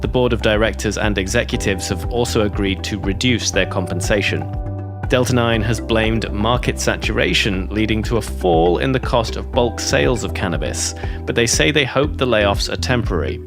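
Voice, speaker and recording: A man's voice: on the low side, expressive, moderate pace, vocal fry. Male news reader over guitar music. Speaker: news reporter. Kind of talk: scripted news read. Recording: music playing behind the voice.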